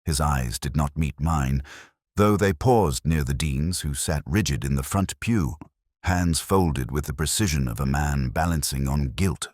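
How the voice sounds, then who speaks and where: A man playing a world-weary, cerebral police detective, narrating a radio drama in the first person in a measured, melancholic, dryly ironic manner. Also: rumbling low, no room sound, clean studio sound, heavily acted.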